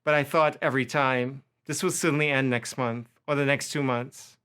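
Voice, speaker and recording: A man's voice: medium pitch, steady tone, normal speed, a bit bright, clear, resonant tone. A man with a Trinidadian accent giving a prepared lecture, impassioned and building through long lists. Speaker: university faculty lecturer.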